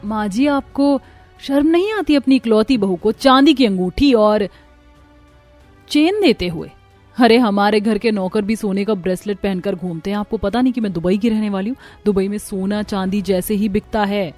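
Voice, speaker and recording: A woman's voice: mid-range voice, normal speed, darkish tone, faint music behind, natural voice. A woman voicing a haughty, spoiled young rich bride in a cartoon story, complaining with disdain and dropping in English words. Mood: outraged. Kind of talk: Hindi cartoon story voice acting.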